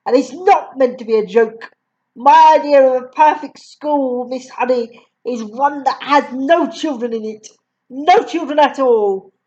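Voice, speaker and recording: A woman doing a cruel booming headmistress. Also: measured pace, warm tone. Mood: scornful. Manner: booming, sneering, spits insults. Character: huge bullying female headmistress, cruel, contemptuous. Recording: dull lo-fi recording.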